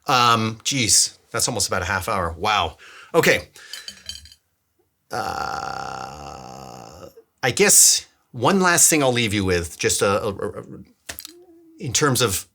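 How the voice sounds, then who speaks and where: A man's voice: on the low side, sing-song, slow, vocal fry, long pauses, sharp tone. A man hosting a casual video devlog, talking informally and thinking aloud, often circling back and repeating words as he goes. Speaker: DIY filmmaker and vlog host. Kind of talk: casual devlog talk to camera.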